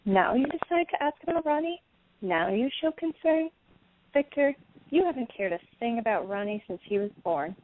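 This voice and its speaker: A woman's voice: medium pitch, lightly acted. A woman playing a bitter, vengeful betrayed wife and mother in an audio play, accusing and indignant. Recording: tinny phone-like sound, clear background noise.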